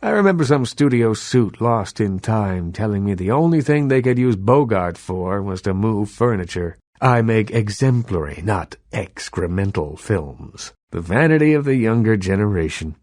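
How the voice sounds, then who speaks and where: An actor playing an imperious old vampire baron and Hollywood mogul in a video game, speaking in a measured, eloquent, condescending way. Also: male voice, on the low side, lively intonation, a little mellow, no echo, studio quality, natural voice. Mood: proud, boastful, then wry, nostalgic. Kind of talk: video game character dialogue.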